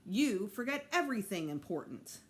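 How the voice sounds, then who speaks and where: A woman's voice: medium pitch, sing-song, normal speed, lightly acted. A woman voicing an indignant, sulky and stubborn preteen boy in a storybook, complaining emphatically with sarcasm.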